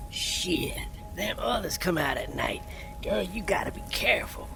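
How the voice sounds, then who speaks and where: A woman voicing a ragged older man, wary and folksy, with a gravelly, breathy voice and rustic colloquial talk. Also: lowish voice, expressive, unhurried, over music, exaggerated voice. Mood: alarmed warning. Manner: rustic colloquial drawl with dropped grammar.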